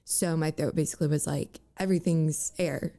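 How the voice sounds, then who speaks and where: A young woman with a raspy voice full of cracks, speaking as a guest in a candid interview, halting and full of fillers. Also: low voice, steady tone, dark tone.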